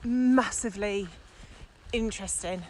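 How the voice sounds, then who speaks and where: A woman's voice: mid-range voice, a little slow, a little mellow, low-quality recording. A woman, chatting casually as a podcast co-host. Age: 40s. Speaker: podcast co-host, business owner. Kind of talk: casual conversational podcast chat. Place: outdoors at dusk. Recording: faint outdoor background noise.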